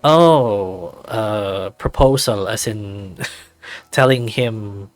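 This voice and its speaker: A man's voice: fairly low pitch, drawn-out words, no echo. Man reading a visual novel, very dry sound. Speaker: visual novel reader and commentator. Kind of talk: dramatic reading of a visual novel with casual asides.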